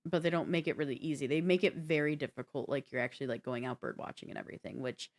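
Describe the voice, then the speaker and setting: Woman, co-host, slightly low pitch, casual chat, halting phrasing, frequent "like". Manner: halting, uses "like" often. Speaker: show co-host.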